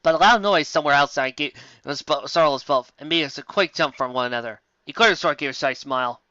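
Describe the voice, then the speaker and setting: Theatrical, exasperated reader with a bright voice. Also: mid-range voice, quick pace, dry recording, dull audio. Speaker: host reading fan fiction aloud. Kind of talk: comic dramatic reading with asides.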